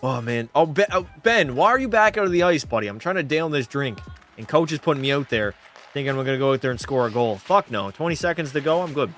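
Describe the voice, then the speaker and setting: A man's voice: medium pitch, animated, quick pace, a bit bright, faint music behind. A young man from Canada, casually chatting and swearing while live-streaming a hockey game and answering chat. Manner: loose, profane banter, reading chat aloud. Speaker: gaming streamer.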